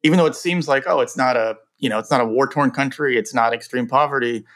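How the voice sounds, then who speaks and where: A man's voice: medium pitch, fast, a bit bright. Brief: English-born man in his forties, laid-back host, rambles through questions, says "yeah" a lot. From England. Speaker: podcast host. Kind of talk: casual interview talk.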